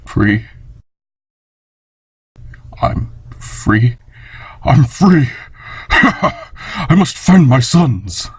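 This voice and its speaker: A man playing a gentle, solemn monster scientist and father in a fan comic dub, speaking formally and with measured care in a gravelly voice. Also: sing-song, dry recording, full character voice. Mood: elated. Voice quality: low, gravelly, husky.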